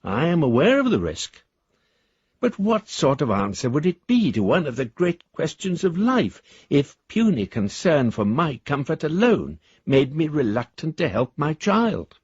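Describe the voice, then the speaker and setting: A man's voice: mid-range voice, sing-song, measured pace, a little mellow, slightly rough, dry recording, lo-fi sound. An actor playing an eloquent, whimsical elderly father in a radio drama, wordy and wryly formal as he reads his letters aloud. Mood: earnest, resolute. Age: older.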